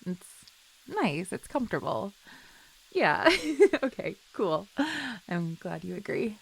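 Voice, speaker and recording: Giggly, creaky-voiced flirty best friend played by a woman. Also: mid-range voice, very animated, slow, exaggerated voice, rough recording. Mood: playful, tender. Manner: giggly, hesitant, casual and affectionate. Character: chill, flirty female best friend shyly confessing love. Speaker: voice actor. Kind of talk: intimate first-person audio roleplay.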